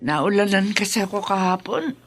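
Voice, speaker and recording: A woman playing a feisty, protective elderly mother and grandmother in a radio drama, expressive and motherly. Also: mid-range voice, moderate pace, natural voice. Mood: proud, dismissive. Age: older.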